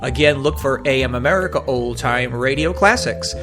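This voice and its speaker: A man's voice: mid-range voice, moderate pace. A man hosting a radio program, giving a presenter's sign-off narration. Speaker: radio show host. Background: music.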